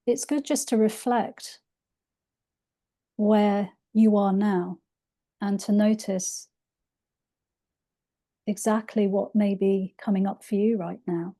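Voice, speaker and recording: A woman reading aloud from her own spiritual book in a soft, unhurried way, her voice a little croaky. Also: medium pitch. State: slightly croaky voice. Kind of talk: slow gentle book reading.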